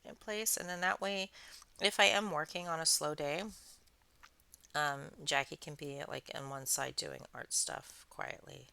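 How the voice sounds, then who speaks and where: A woman hosting a craft channel, chatting casually in a soothing, soft-spoken, rambling way. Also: low-pitched, fairly even intonation, a little slow, vocal fry, smooth. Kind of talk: casual chat while crafting.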